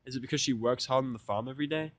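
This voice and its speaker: A man with an average-pitched voice narrates a first-person tale. His reading is casual and sardonic, like a hobbyist audiobook. The recording is dry, with little room sound. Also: very fast, no echo.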